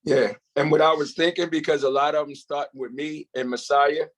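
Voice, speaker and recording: A man's voice: fairly high pitch, steady tone, normal speed. A man chatting casually on a video call, very colloquial and often checking that the listener follows him. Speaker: table read participant. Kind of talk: casual meeting chat.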